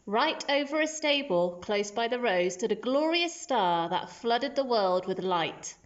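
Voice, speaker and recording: A woman's voice: a little high, lively intonation, a little slow, dull audio. A woman teacher reading a children's storybook aloud as scripted narration.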